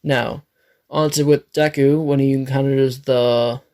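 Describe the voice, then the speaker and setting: A man with a raspy, dry throat, narrating an improvised fan story, rambling and correcting himself. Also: mid-range voice, steady tone, measured pace, darkish tone. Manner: rambling, self-correcting, swears.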